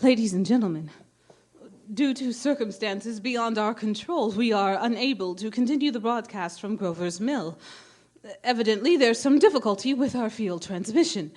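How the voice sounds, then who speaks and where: An actress playing a composed radio studio announcer reading bulletins in a formal, measured way for a radio drama. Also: female voice, a little high, darkish tone, lightly acted. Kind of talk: 1930s radio news announcing.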